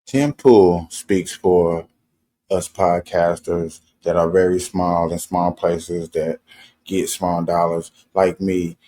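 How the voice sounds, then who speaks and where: A man putting on a slow, deep-voiced drawl to mock another podcaster. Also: steady tone, mellow.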